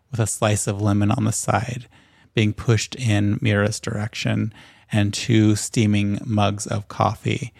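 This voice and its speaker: A man's voice: on the low side, creaky, a little mellow, no room sound, clean studio sound. A man running a tabletop game as game master, narrating in lush, lingering sensory detail.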